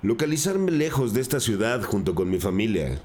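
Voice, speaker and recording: A cornered street dealer and school driver in a crime audio drama. His voice sits fairly low. He sounds defensive yet earnest, pleading to be believed. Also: very animated, normal speed, a little mellow.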